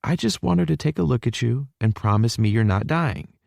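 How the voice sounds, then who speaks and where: A man's voice: medium pitch, animated, a little fast, vocal fry, darkish tone, dry recording, studio quality, voice pushed up. A man voicing a blunt but caring young college man who teases his girlfriend, in an audiobook. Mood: earnest, worried.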